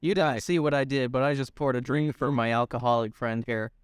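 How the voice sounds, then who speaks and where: A man's voice: medium pitch, fairly even intonation, brisk, clean tone, no room sound, clean studio sound. A young man co-hosting a podcast, chatting casually, teasing his partner and laughing often. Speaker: podcast co-host. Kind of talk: casual chat.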